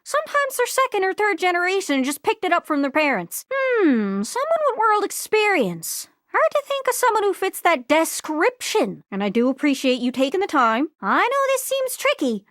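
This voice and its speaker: A woman voicing an excitable, scatterbrained globe character in a video game, with a folksy Newfoundland accent and a bubbly, exclamatory manner. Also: very high voice, moderate pace, hardly any pauses, no echo, clean studio sound, full character voice. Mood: eager, helpful. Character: excitable, scatterbrained living globe longing to travel.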